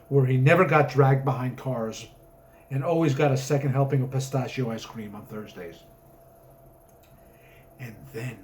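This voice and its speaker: A man's voice: medium pitch, a little mellow, a little hiss or hum. A man reading a story aloud as its author, narrating with theatrical, emphatic comic drama.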